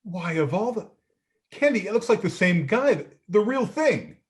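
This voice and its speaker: A man's voice: sits high, sing-song, normal speed. A man playing a practical homicide detective in a radio play, plainspoken and matter-of-fact. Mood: impressed.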